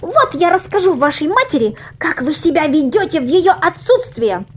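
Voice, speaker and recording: A woman acts out an indignant storybook magpie, very high and shrill. She chatters and scolds like a tattletale, her pitch leaping about. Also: very animated, moderate pace. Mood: indignant scolding.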